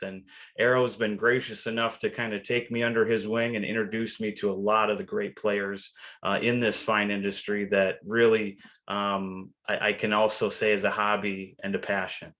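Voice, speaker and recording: A man's voice: fairly low pitch, a bit bright. A man chatting as a podcast guest in a friendly, self-deprecating way, his talk sprinkled with fillers. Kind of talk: casual podcast chat.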